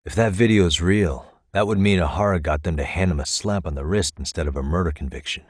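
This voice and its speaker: A male actor voicing a composed, determined private detective in a video game, with a measured, probing delivery. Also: low-pitched, husky, no echo, clean studio sound. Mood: matter-of-fact. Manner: measured, probing, matter-of-fact. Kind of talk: English video game dialogue dub. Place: dry recording studio.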